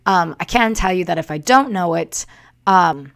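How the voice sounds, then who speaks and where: A woman's voice: lowish voice, animated, normal speed, creaky. A woman livestream host and performer chatting casually and theatrically into a desktop microphone, with a smoky voice that is a bit worn from the day before. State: voice rough the day after a livestream. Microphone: Yeti desktop microphone.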